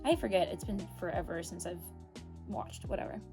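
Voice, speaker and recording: A young woman vlogging about a book chapter in a casual, rambling, animated way, with a swear word here and there. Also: fairly low pitch, fairly quick, over music. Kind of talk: casual chat.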